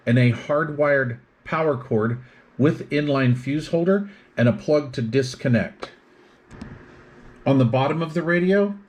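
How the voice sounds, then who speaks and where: A man giving an explanatory product demonstration, methodically listing features in short phrases as a reviewer. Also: on the high side.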